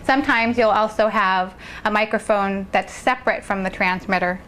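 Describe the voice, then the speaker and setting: A woman professor walks through an instructional demonstration in a clear, measured manner. Her voice sits at a middle pitch and is picked up by a lapel mic. A faint hiss of noise runs underneath. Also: fairly even intonation, fairly bright tone. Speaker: assistant professor. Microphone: worn lapel microphone.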